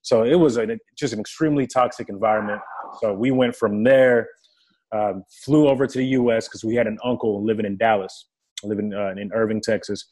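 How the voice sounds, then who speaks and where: A man's voice: on the low side, fairly even intonation, a little fast, darkish tone, low-quality recording. A young man from the Democratic Republic of Congo, a native French speaker, telling his life story earnestly and vividly as a guest in a casual interview. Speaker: guest, player engagement staff for a football team.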